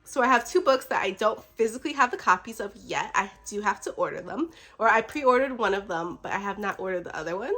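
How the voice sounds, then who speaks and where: Woman, mid-range pitch, book vlogger, casual chat, effusive, giggly, rambling, slightly sick. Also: animated, fast, few pauses, sharp tone, soft background music. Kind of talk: casual chatty book talk.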